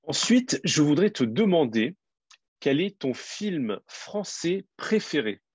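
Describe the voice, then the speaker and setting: A man who teaches French, hosting an interview and asking quiz questions clearly and encouragingly. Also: fairly high pitch, big pitch swings, slow pace, darkish tone, no echo, highs cut off. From France.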